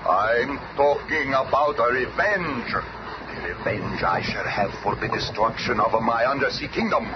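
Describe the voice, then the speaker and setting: An actor playing a proud, vengeful undersea prince in a radio superhero drama, with grandiose, declamatory delivery. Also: male voice, medium pitch, expressive, a little slow, natural voice. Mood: menacing. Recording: muffled old radio broadcast, heavy noise.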